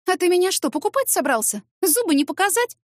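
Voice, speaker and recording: Woman playing a feisty, high-voiced expressive mother. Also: fast, dry recording, studio quality. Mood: indignant. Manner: expressive, exclamatory, stammering when flustered. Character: feisty, flustered mother and secretary, quick-tempered, tender.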